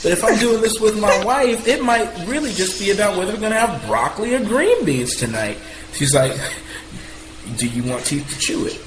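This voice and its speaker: Passionate male guest, animated delivery, roomy room with audible background noise. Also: moderate pace. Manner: passionate, voice rising higher and louder as he gets animated; talks with hands, bouncing while speaking. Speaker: podcast guest. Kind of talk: candid conversational sharing.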